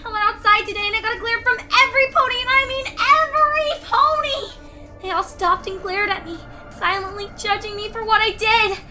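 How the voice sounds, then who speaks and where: A woman voicing a guilt-ridden, paranoid young pony student, reading her confessional letters in an anguished, highly emotional way as she unravels. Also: fairly quick, soft background music, full character voice. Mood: hysterical, sobbing. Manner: anguished, highly emotional, swinging from hushed to screaming.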